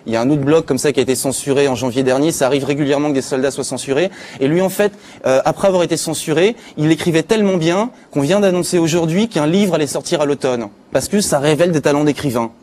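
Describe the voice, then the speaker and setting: A man's voice: fairly high pitch, quick pace, hardly any pauses, a little mellow, a little hiss or hum. A man giving an informal spoken chronicle on a live talk show, as a news contributor. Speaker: contributor presenting internet news.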